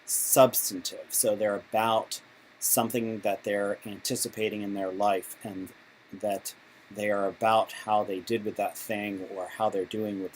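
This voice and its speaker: A man with a fairly low voice gives an informal lesson as an instructor. He feels his way through sentences conversationally, often restarting them. There is noticeable noise on the amateur recording. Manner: halting, conversational phrasing with repeated restarts. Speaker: instructor for patient volunteers. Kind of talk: informal instructional explanation with role-played examples. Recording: clearly noisy amateur recording.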